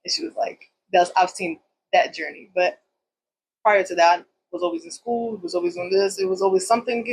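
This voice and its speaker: A woman's voice: on the low side, expressive, a little fast, gravelly, slightly rough, rough recording. A woman in her thirties from Puerto Rico, a salon owner telling her story in a loose, chatty interview style with plenty of fillers.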